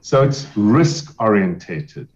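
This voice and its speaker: Man, lowish voice, steady expert explainer, slightly dull lo-fi sound. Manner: measured, explanatory, emphatic repetition. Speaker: business sale advisor. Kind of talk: podcast interview answers.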